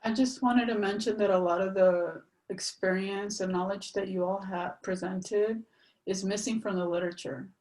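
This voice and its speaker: A woman researcher commenting on a panel in a measured, halting, unscripted way, recorded roomy and away from the microphone. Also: medium pitch, steady tone, darkish tone, recorded from a distance. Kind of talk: panel comment, speaking off the cuff.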